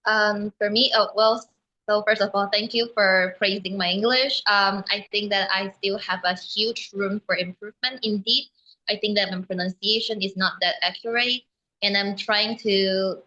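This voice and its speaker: A woman's voice: medium pitch, fairly even intonation, bright, forceful tone, lo-fi sound. A young woman from Malaysia whose first language is Mandarin Chinese, answering interview questions earnestly and evenly over a video call, with the odd "um". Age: young adult. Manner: earnest, measured, with frequent ums. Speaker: guest.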